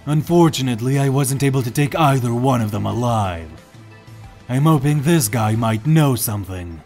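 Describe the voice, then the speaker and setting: A man's voice: medium pitch, expressive, moderate pace, darkish tone, soft background music, close to an everyday voice. A man playing a stern, confident alien warrior with a blunt, commanding manner in a fan audio drama. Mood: serious.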